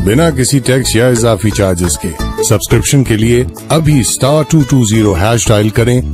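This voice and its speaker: A man voicing a polished, persuasive radio advertisement. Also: lowish voice. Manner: smooth, persuasive. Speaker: advert voice-over. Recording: ad with music bed.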